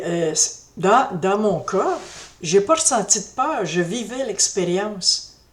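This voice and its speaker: A middle-aged woman tells a personal story to camera in a slow, reflective way, often pausing and restarting her sentences. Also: medium pitch. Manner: slow, reflective, hesitant, with many fillers and restarts. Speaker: spiritual vlogger.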